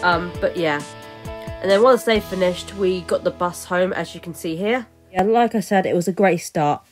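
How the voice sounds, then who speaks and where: Young female vlogger, casual voice-over, lots of ums and restarts, lower pitch. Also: normal speed, soft background music. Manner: rambling, many fillers and restarts.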